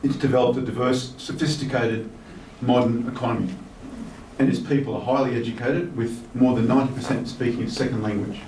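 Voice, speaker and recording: A man in his fifties giving a speech, reading a message and adding personal remarks in a relaxed way with "um" fillers. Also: medium pitch, fairly quick. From Australia. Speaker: guest speaker reading a minister's message. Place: large hotel reception hall. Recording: echoey hall from far off with faint noise.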